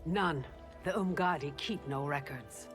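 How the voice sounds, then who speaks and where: A woman's voice: deep voice, measured pace, faint music behind. An actress playing a proud female royal guard in a video game, formal, clipped and cool.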